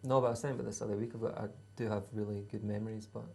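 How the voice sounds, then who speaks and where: A man's voice: lowish voice, level tone, racing, vocal fry, mellow. A Scottish man in his thirties, a musician answering interview questions in a laid-back, hesitant way with dry humour. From Scotland. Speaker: musician guest.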